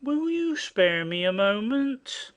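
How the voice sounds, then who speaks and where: A man playing an elderly, earnest colonel who speaks haltingly and with fatherly courtesy in an audio stage play. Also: high-pitched, very animated, fairly bright tone, full character voice. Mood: hesitant, polite.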